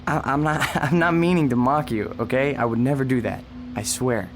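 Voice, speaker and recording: A man's voice: medium pitch, normal speed, faint music behind, natural voice. An actor playing a policeman in a radio drama, hesitant, conversational and placating. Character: reassuring, injured policeman humouring an old woman. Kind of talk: radio drama acting, naturalistic.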